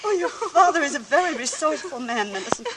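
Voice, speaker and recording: A woman's voice: high voice, expressive, fast. A woman playing a fretful, submissive mother in an old radio drama, fluttery and pleading. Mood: anxious hushing. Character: fretful, submissive mother hushing her daughter. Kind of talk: 1940s radio acting. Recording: distant, noisy vintage radio recording.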